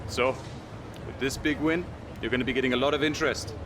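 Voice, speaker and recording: An actor playing a competitive, level-headed male racing driver in a game cutscene, measured and dryly needling. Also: medium pitch, steady tone, background noise, lightly acted. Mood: measured, gracious. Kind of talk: video game cutscene acting.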